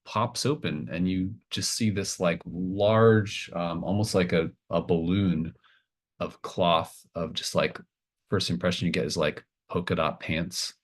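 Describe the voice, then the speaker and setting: A man narrating a tabletop horror game as game master, improvising in casual, halting phrases. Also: low voice, fairly even intonation.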